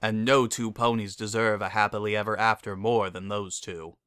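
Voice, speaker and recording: Reader doing a calm sensible guy unicorn, plain n matter of fact, dry close recording. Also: mid-range voice, moderate pace, clear, resonant tone, no echo, natural voice. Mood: calm, reassuring.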